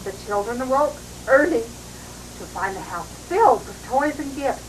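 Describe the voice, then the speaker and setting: Older woman, grandmotherly storybook read-aloud, slightly high pitch, clearly noisy 1980s home recording. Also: lively intonation, measured pace. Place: at a family Christmas party.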